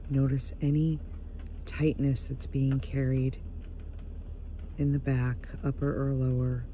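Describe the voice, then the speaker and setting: A man leading a guided meditation in a gentle, hushed voice, leaving unhurried pauses between short phrases. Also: medium pitch, flat delivery. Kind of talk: guided meditation, slow soothing narration. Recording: muffled, dull home recording with faint noise.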